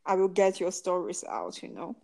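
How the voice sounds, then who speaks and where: A woman's voice: fairly low pitch, animated, moderate pace, a little mellow. A woman co-hosting a podcast, talking casually with many fillers like "you know" and "like". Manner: chatty, heavy on "like" and "you know". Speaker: podcast co-host. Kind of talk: casual chat.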